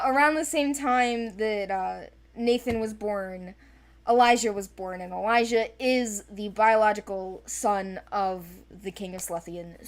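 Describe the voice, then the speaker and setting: Rambling, enthusiastic woman, casual talk. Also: mid-range voice. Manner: rambling, self-correcting, enthusiastic. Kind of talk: casual character discussion.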